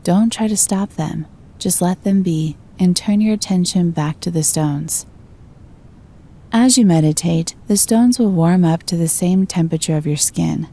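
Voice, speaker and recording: Soft-spoken woman guiding a meditation. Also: on the low side. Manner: slow, soothing, gentle instructions. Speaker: meditation guide. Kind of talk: guided meditation.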